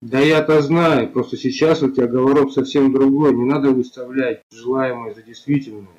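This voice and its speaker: A man, a game player, calmly explaining himself and then taunting and insulting another player in voice chat. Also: mid-range voice, normal speed, highs cut off. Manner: calm explaining, then mocking and abusive. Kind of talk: voice chat argument.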